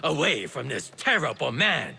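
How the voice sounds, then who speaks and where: Animated, teasing tea merchant. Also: male voice, medium pitch, moderate pace, vocal fry, full character voice. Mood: delighted. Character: excitable, teasing old male tea merchant. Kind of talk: video game cutscene acting.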